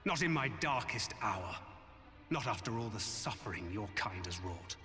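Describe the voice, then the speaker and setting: An actor playing an indignant, distrustful hero in a video game, speaking formally and with stern emphasis. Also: male voice, lowish voice, very animated, moderate pace, pushed and bright. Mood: indignant, defiant. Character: indignant, distrustful heroic man, sternly principled. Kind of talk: dramatic video game voice acting. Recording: long hall reverb, music behind.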